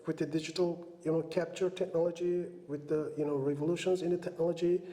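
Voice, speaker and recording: Male podcast host, Turkish native speaker, high pitched, loose rambling delivery, roomy sound. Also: level tone, fast talker, warm tone. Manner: rambling, many fillers and "you know". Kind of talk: casual podcast chat. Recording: roomy room echo.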